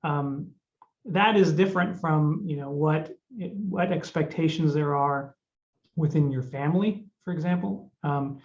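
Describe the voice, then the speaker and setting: A man's voice: on the high side, unhurried, darkish tone, dull audio. A man born in Peru, a judge on a webinar panel, thinking aloud with frequent restarts and hesitations. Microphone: video call.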